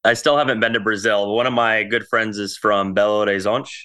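A man's voice: on the low side, fairly even intonation, fairly quick, bright, forceful tone, clean tone. A man hosting a podcast interview, asking questions in a friendly, chatty way. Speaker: podcast host. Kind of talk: casual interview chat.

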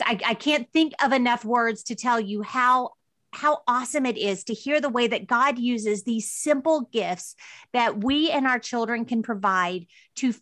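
Woman podcast host, a bit higher register, dry recording, earnest and enthusiastic. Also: fairly bright tone, no echo. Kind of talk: warm interview podcast.

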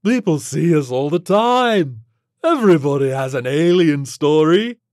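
A man's voice: on the high side, expressive, unhurried, darkish tone, no room sound, studio quality, pitched above the performer's own voice. A man voicing a huge tentacled alien in a story, brash, amused and breezily rude, with a folksy, profane manner. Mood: brash, matter-of-fact.

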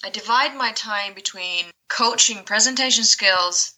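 Woman, voice actor and coach; very bright tone, chatty, laughs easily. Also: medium pitch, measured pace, poor audio. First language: Finnish and English. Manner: chatty, laughs often, restarts sentences. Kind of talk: casual interview answers.

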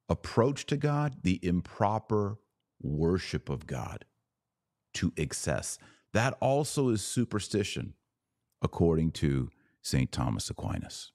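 A man's voice: sits low, darkish tone, no echo, clean studio sound. A man hosting a livestreamed commentary podcast, talking emphatically with sarcastic, mocking asides. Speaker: podcast host.

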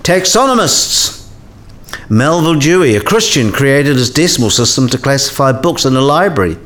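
A man acts out a cynical, sidelined professor in a radio play. His mid-range voice moves freely up and down as he explains, wry and didactic, in measured phrases. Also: mid-range voice, big pitch swings, a bit bright. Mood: dry lecturing. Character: cynical, sidelined male professor giving career advice.

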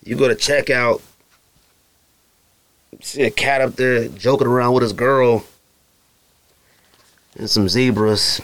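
A man's voice: mid-range voice, fairly even intonation, slow, pauses often. A man from Arizona, hosting a podcast and talking casually in a slangy, rambling way, often mimicking other people. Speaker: podcast host. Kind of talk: casual solo podcast talk.

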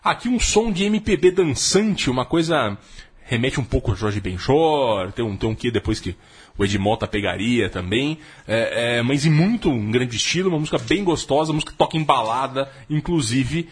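Husky-voiced man with lively intonation. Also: mid-range voice, moderate pace. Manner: thinks aloud with frequent hesitations. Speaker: music podcast host. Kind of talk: casual music commentary.

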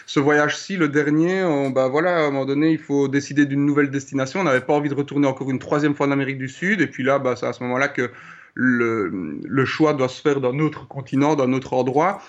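A Belgian man in his thirties with a Liège accent, speaking hesitantly as an interview guest, full of "euh" and pauses. His mid-pitched voice comes over a slightly dull, muffled call line. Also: fairly even intonation. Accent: Belgian French, Liège. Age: 30s. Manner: hesitant, many pauses and fillers. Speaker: guest, traveler. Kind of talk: remote interview.

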